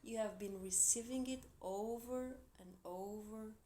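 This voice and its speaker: A woman from Portugal, speaking English as a second language with a Portuguese accent, giving an earnest, encouraging tarot reading with soft, quiet asides. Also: medium pitch, measured pace, slight background noise. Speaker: tarot reader. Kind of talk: tarot reading for a zodiac sign.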